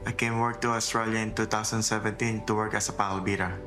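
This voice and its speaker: Earnest low-voiced man. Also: little pitch movement, music in the background. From Philippines. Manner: earnest, simple halting phrasing.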